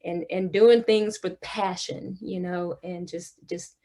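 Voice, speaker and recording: A young woman from the Houston area of Texas talks about her upbringing as an interview guest. She is chatty and warm, laughs often and fills pauses with ums. Her voice sits a little low. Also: steady tone. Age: young adult. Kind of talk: casual interview chat.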